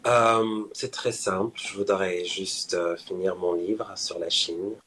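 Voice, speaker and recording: A man with a husky voice answering as a panel guest, deliberate and hesitant. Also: low voice, fairly even intonation, bright, forceful tone, poor audio. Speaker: interview guest, writer. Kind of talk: unscripted panel interview answer.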